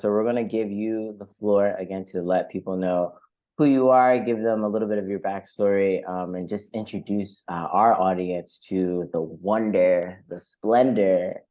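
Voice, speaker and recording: Male podcast host, lowish pitch, effusive and searching in phrasing, slightly muffled sound. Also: steady tone, moderate pace. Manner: effusive, hesitant phrasing with repeated words. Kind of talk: conversational podcast interview.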